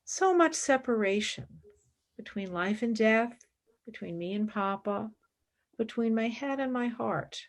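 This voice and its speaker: A woman acting in a radio play as an idealistic, exacting young philosophy student, earnest and expressive. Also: on the high side, measured pace. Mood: exasperated, wistful.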